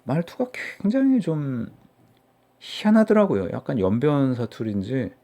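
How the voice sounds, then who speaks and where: A man's voice: medium pitch, huge pitch swings, normal speed, warm tone. A middle-aged man from Seoul giving casual, mocking political commentary for his own channel, rambling with many fillers. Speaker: political YouTuber.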